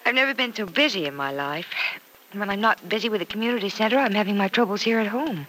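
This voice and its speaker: A warm young woman in a vintage radio serial. Her voice is bright and forward at a middle pitch, full of little sighs and hesitations. There is some noise on the old recording. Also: lively intonation, fast, poor audio. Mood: wistful. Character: warm, earnest young woman recovering from past pain. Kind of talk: old-time radio soap opera acting.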